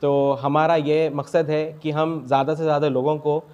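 Halting young man, higher-pitched voice. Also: little pitch movement, darkish tone. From India. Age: young adult. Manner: halting, with frequent broken starts and English medical terms. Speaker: doctor. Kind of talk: interview answers at a health camp. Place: small clinic room, indoors. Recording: dull lo-fi sound.

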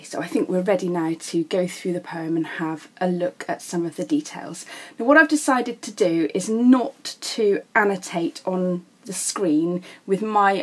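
A woman with a British accent explaining things as an English teacher in a recorded lesson, in a measured, instructive way. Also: on the low side, breathy edge.

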